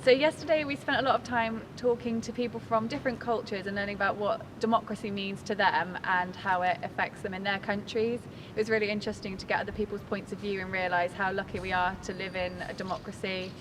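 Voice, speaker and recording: A woman's voice: mid-range voice, little pitch movement, fast, few pauses. Young woman, fluent and chatty, faint background noise. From England. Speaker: youth rally participant. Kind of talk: casual interview. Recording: distant, reverberant, faint noise.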